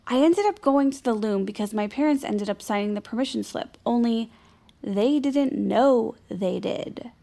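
An actress playing a quirky, naive friend with an American accent and a rambling, guileless manner in an audio drama, with some noise in the recording. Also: female voice, on the high side, normal speed, creaky, dark tone. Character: quirky, naive, cheerfully odd young female friend.

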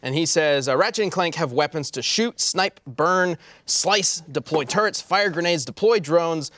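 Male podcast host, slightly high voice, very dry recording, casual panel delivery with read-out listener submissions. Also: normal speed, fairly bright tone, dry recording. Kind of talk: casual panel chat, reading out listener answers.

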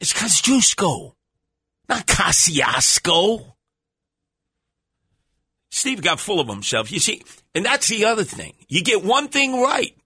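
Male New radio host, brash and theatrical, bright voice. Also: very animated, takes it slowly, pauses often, slightly rough, dry recording. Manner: brash, mocking, theatrical swings in pitch. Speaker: radio talk show host. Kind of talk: talk radio banter with callers. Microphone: studio microphone.

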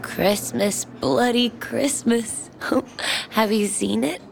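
Slow, gravelly, wry waitress. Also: female voice, fairly high pitch, slight background noise, full character voice. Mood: coaxing. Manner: teasing, folksy, direct. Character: single small-town diner waitress, wry, warm-hearted, forthright. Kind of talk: naturalistic audio drama dialogue.

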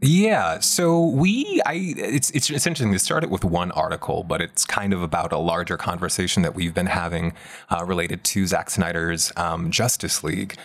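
Podcast co-host: American man, low register, conversational but emphatic delivery. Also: normal speed. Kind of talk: conversational pop culture podcast talk.

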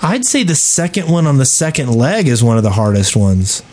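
A man's voice: medium pitch, big pitch swings, moderate pace, darkish tone. A man co-hosting a podcast in casual, joking gaming chat and reading out listener mail. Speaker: podcast co-host.

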